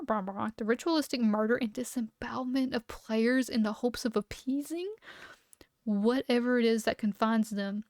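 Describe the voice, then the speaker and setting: A woman livestreamer reading document entries aloud and chatting between them, her voice a little hoarse from talking so much, sometimes skipping text with "blah, blah". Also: on the high side, no echo, clean studio sound. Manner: skips text with "blah, blah" asides. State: losing her voice. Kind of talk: reading entries aloud, then casual chat.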